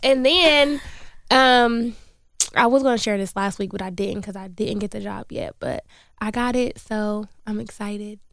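A woman's voice: medium pitch, a little slow, vocal fry, a bit bright, dry recording, clean studio sound. A woman co-hosting a podcast who talks bluntly in slang and laughs often. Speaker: podcast co-host. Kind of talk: casual chat.

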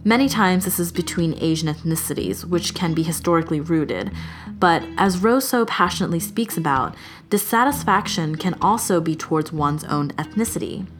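Low-pitched woman narrator, measured and sincere, over music. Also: expressive. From Chinese heritage. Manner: earnest, measured reading. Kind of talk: scripted narration.

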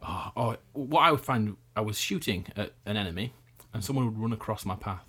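A man's voice: lowish voice, animated, a little fast, pushed and bright, breathy edge. A man hosting a casual chat, playful, laughing often and apologetic. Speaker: podcast host.

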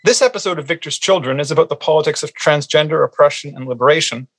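A man hosting a podcast, asking a guest questions in a polite, slightly halting way. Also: on the high side, fairly bright tone, husky, no room sound, soft background music. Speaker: podcast host.

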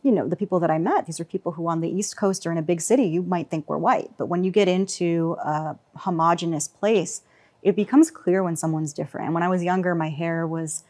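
A woman with a slightly low voice talks through her thoughts in a casual panel discussion. She is reflective and searches for words, leaning on frequent fillers as she goes. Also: a little fast, a little mellow. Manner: reflective, many fillers like "you know" and "like". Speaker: panel guest.

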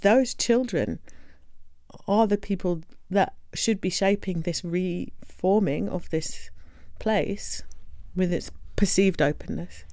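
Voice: medium pitch, darkish tone, dry recording. A speaker in a reflective discussion, talking thoughtfully and searching for words.

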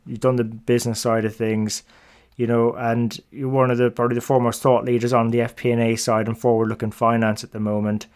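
A man's voice: mid-range voice, clean tone. Male podcast host. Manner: enthusiastic, conversational. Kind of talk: podcast intro and interviewing.

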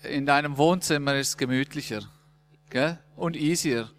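A man hosting a casual church interview, asking friendly, joking questions at an unhurried pace. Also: on the high side, a bit bright, faint music behind. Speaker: interview host in church service. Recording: long reverb.

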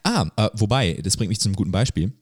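A man's voice: fairly low pitch, lively intonation, fast, darkish tone, slightly rough, no echo, studio quality. A man hosting a podcast, explaining things in a casual, rambling, colloquial way. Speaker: podcast host. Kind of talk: casual conversational podcast chat.

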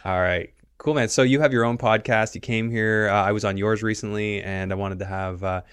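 A man's voice: lowish voice, steady tone, brisk, fairly bright tone, clean tone, no echo, studio quality. A man hosting a podcast in a casual, conversational style. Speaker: podcast host.

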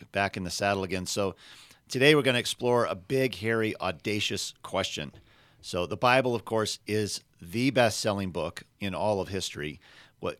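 Podcast host guy with a sick scratchy voice, kinda rambly, lowish and dry. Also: fairly bright tone, dry recording. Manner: rambling, self-correcting, friendly. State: scratchy, gravelly voice from illness. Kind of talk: conversational podcast hosting.

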